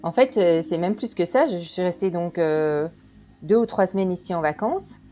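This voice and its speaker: Woman, guest, mellow low voice, hesitant, faint music. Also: normal speed. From Belgium. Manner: chatty, many hesitations. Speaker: guest, quantum practitioner. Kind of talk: casual storytelling interview.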